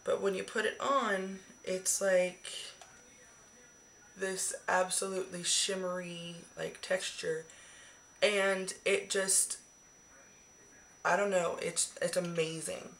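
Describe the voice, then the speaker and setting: A young woman in her twenties talks through her makeup favorites in a rambling, casual way, still hoarse from the flu. Her voice sits a little low and breaks into gravelly fry. She is recorded on a webcam in a small bedroom with a faint hiss behind her. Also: level tone, slow, pauses often, fairly bright tone. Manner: chatty, swears casually, rambling. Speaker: beauty vlogger. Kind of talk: casual monthly favorites chat. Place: small decorated bedroom, indoors. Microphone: webcam at arm's length.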